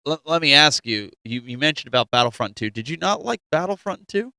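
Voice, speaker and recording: A man with a slightly high, forceful and clear voice. He chats casually as a host, restarting and repeating phrases as he makes his point. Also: lively intonation, a little fast, dry recording. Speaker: host of a gaming news show. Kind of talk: casual chat.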